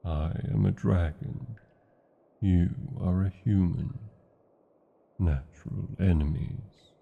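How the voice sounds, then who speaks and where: Man voicing a deep, husky British dragon. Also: phone-quality sound, full character voice. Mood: wistful. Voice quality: husky, gravelly, dark low voice. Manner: slow, deliberate, formal phrasing. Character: old tender male dragon, wistful lover of a human. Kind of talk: fantasy ASMR roleplay acting.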